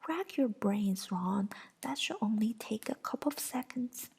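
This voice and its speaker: Woman doing a young witch, shrill, furious, whispered, high, slow. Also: lively intonation, gravelly, a little mellow, a little hiss or hum.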